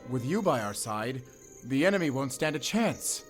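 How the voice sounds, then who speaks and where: A man's voice: medium pitch, sing-song, normal speed, faint music behind, natural voice. A man playing a loyal, earnest warrior comrade in a fantasy audio drama, with a pleading manner. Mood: flustered, pleading.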